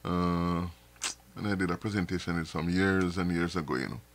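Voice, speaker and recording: A man's voice: low-pitched, fairly even intonation, moderate pace, slight background noise. A man hosting a live stream, talking casually to viewers with frequent repeats and restarts, sounding a little nasal from dust in his throat. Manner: rambling, repeats words and restarts often.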